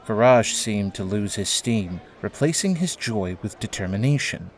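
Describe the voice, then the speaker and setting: A man's voice: fairly low pitch, animated, moderate pace. A man narrating a scripted audio drama as its storyteller. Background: background music.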